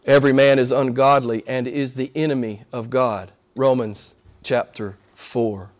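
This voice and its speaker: A man preaching a sermon with fervor, hoarse from a cold and losing his voice, dropping to a hush and rising to shouts. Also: mid-range voice, steady tone, a little slow. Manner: fervent, swings from hushed to shouting. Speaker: pastor.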